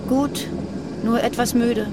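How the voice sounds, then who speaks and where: An actress playing an anxious, secretive young militant who wants out, speaking earnestly and confidingly in a naturalistic radio drama. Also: female voice, fairly high pitch, fairly even intonation, slow, noisy background. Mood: tired.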